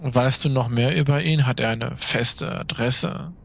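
A man as a male vampire, gravelly, mid-pitched, practical, patient, brief, audio drama. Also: fairly even intonation, measured pace. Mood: calm, prompting. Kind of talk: audio drama role-play dialogue.

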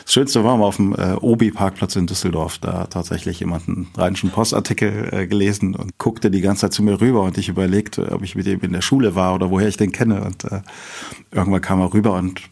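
A middle-aged man with a deep, creaky voice speaks as an interview guest. He talks quickly and modestly, with a husky edge, in relaxed conversation. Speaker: interview guest, company founder.

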